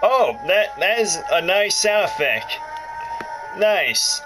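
A male gaming host chats casually through a let's play. His pitch moves around a lot as he rambles and jokes, and game music plays behind him. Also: sing-song, slow, poor audio. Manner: rambling, jokey, self-interrupting. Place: indoors, about a foot from the handheld console. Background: game music from the console speaker.

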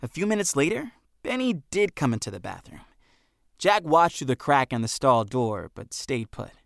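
A man's voice: medium pitch, very animated, normal speed, gravelly, fairly bright tone, dry recording. A man narrating a story expressively as an audiobook reader, close on a studio microphone.

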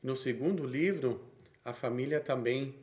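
A man's voice: mid-range voice, a little slow, darkish tone. A man in his thirties with a Brazilian Portuguese accent, preaching a sermon he reads from notes, with frequent small restarts.

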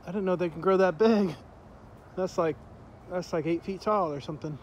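High-voiced man narrating a park walk. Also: normal speed, dull audio. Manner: rambling, pointing things out with many fillers. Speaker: vlogger filming a park walk. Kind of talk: casual walking tour narration. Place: outdoors in a sunny park. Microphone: handheld phone camera. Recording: outdoor phone audio with loud background noise.

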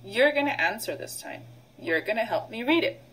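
A middle-aged woman, a preschool teacher, leading a read-aloud vocabulary lesson in an instructional way, pausing for children to repeat. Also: on the high side, sing-song, fairly bright tone, breathy edge, rough recording. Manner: instructional, prompting with pauses for repetition.

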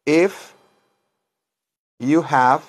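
A middle-aged man gives an informal bilingual language lesson. His voice sits slightly high for a man. He speaks at a very slow pace, repeating phrases and spacing out the words as if dictating. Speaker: English teacher and self-styled chaplain. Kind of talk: bilingual English lesson in Spanish and English. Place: small wood-paneled room indoors.

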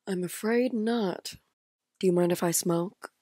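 Confident, mysterious female client, played by an actress for audio drama: lowish pitch, poised, alluring, dry studio recording. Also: a little slow, mellow, no room sound.

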